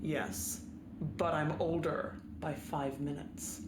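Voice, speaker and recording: A woman's voice: sits low, steady tone, slow pace, reverberant, noisy background. A woman playing a self-important, militant warhorse in a comic radio play, blustering and superior. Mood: smug bluster.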